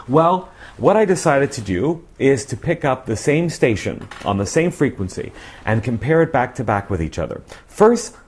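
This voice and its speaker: A middle-aged man giving a casual, emphatic product review to camera, repeating phrases for stress, on a lapel mic in a living room. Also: medium pitch. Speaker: radio reviewer. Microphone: clip-on lapel mic.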